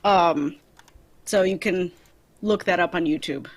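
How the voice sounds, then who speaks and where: A woman's voice: lowish voice, unhurried, dry recording. A young woman chatting casually with friends on a livestream. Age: young adult. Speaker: livestream panelist. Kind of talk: casual chat.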